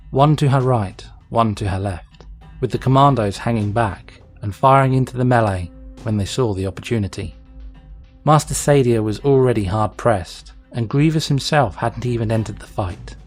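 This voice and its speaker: A man reading an action story aloud as narrator, in a dramatic scripted style. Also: mid-range voice. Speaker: fan fiction reader, narrator.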